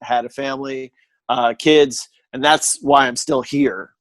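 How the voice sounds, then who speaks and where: A middle-aged man telling stories in a casual chat, animated and full of jokey asides. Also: mid-range voice, measured pace, dry recording. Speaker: guest. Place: living in Texas.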